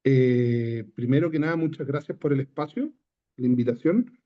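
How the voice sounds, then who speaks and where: A man's voice: mid-range voice, normal speed, highs cut off. A man with a Chilean accent asking a hesitant, polite question on a video call. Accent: Chilean Spanish. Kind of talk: question in an online class.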